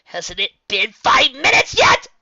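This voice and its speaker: Storybook unicorn mare , acted by a narrator: young, female, brash and proud, high forceful delivery, dull roomless recording. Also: wildly swooping pitch, measured pace, no room sound, exaggerated voice. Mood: panicked. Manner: theatrical, exclamatory.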